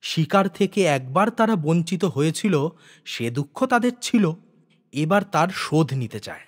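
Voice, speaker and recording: A man's voice: a little high, big pitch swings, a little slow, husky. A man reading a literary story aloud as a dramatic audiobook narrator.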